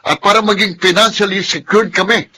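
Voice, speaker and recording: An earnest, career-minded son in a radio drama. The voice is forceful, bright and fairly high, emphatic over faint noise. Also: male voice, fairly even intonation, a little fast. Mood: upset, protesting. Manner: pleading, emphatic. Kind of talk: Tagalog radio drama acting.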